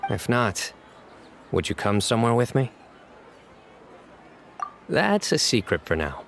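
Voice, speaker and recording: A man playing a serious, proud young guardian with a measured, dry manner in an anime game. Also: medium pitch, big pitch swings, creaky, a bit bright, slight background noise, heavily acted. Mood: relaxed. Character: serious, proud young male guardian, loyal to close friends.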